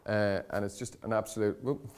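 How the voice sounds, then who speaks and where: Low-voiced man giving an informal talk. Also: fairly even intonation, fairly quick, a little mellow, clear, resonant tone. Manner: conversational, hesitant, rambling with frequent self-corrections. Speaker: conference speaker on startup content marketing. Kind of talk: informal presentation to an audience.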